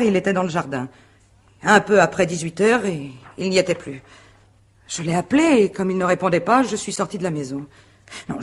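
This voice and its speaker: An actress playing a proud, guarded wife under suspicion in a radio mystery drama, answering formally and with composure. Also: female voice, on the low side, breathy edge. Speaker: actor in a radio mystery play.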